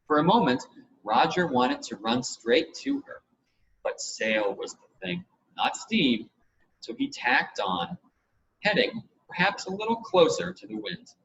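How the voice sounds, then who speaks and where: A man reading a children's adventure story aloud in expressive scripted narration. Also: fairly high pitch, measured pace, creaky. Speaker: audiobook reader. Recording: dull, lo-fi with audible background noise.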